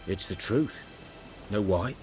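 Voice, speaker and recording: Low, unhurried rustic huntsman. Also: over music. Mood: brooding. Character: wary, plain-spoken rustic village huntsman. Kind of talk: video game voice acting.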